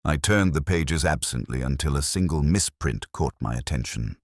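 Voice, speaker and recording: Man voicing a very deep, brooding detective narrator. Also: expressive, no room sound, studio quality. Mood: brooding, reflective. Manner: measured, literary, reflective, somber. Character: brooding, melancholy English male police detective narrating his case. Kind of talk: scripted first-person detective narration.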